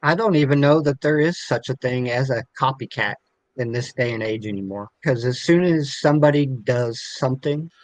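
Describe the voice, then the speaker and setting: A man's voice: medium pitch, normal speed, no echo. A middle-aged man, a podcast guest, giving casual advice in an encouraging, emphatic way.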